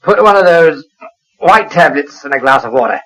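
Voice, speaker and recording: A man's voice: on the high side, animated, normal speed, sharp tone, full character voice, poor audio. An actor playing a curt, short-tempered male ship's passenger in a 1940s radio drama, brusquely snapping orders. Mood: irritable, imperious. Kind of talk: 1940s British radio acting. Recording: old 1940s radio broadcast.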